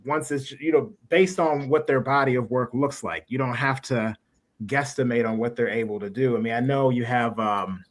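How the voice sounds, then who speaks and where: A man's voice: mid-range voice, steady tone, normal speed. A man hosting a casual interview, talking informally in roundabout phrases. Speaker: podcast host.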